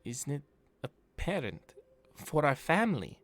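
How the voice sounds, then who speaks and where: A man's voice: on the high side, very animated, a little slow, faint music behind, pitched above the performer's own voice. A man voicing a zealous horned woman cultist with a put-on Russian accent during a tabletop game. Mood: fervent. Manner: pleading, fervent, persuasive.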